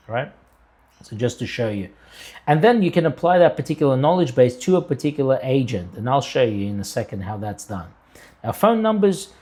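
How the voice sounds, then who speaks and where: A man in his thirties talks through a tutorial in a medium-pitched voice. He explains things step by step in a casual, conversational way, as if showing someone his screen. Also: darkish tone. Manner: conversational, uses everyday analogies and tag questions like "right?". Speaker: presenter of a software tutorial. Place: home room with a window, indoors.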